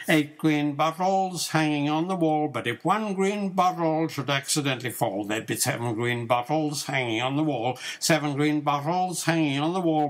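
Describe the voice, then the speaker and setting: An older man, a grandfather, reciting nursery rhymes expressively in a rhythmic, sing-song way for a small child. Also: a little high.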